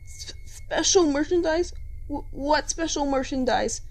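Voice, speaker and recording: Woman acting as a high-pitched, pleading male robot captive. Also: a little slow. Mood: panicked, confused. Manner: stammering, pleading. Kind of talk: amateur dramatic fan fiction reading.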